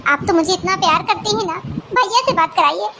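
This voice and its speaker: Lady doing a super high weepy young wife, pleading n halting, soapy cartoon drama, noisy audio. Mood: desperate pleading. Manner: tearful, pleading, repeats words haltingly. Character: distraught young married woman, ill, pleading and remorseful. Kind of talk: melodramatic Hindi family cartoon drama.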